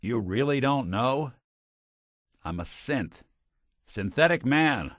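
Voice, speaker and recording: An actor playing a world-weary old synthetic private detective in a video game, wry and kindly, with dry, old-fashioned gumshoe slang. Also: male voice, mid-range voice, expressive, takes it slowly, a bit bright, no room sound. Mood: weary, candid. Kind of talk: video game character acting, 1940s noir detective patter.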